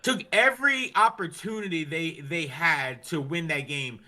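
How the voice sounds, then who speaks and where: High, bright-voiced man on an exasperated rant. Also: unhurried. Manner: ranting, heavy swearing, exasperated. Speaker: sports podcast host. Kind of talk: casual hockey fan chat.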